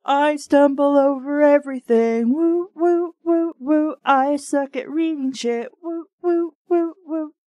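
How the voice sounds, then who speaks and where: A woman's voice: high-pitched, slow pace, hardly any pauses, dark tone, no echo. A woman bantering casually and reading scripts aloud with a group on a voice call. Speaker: member of a voice-acting group doing readings.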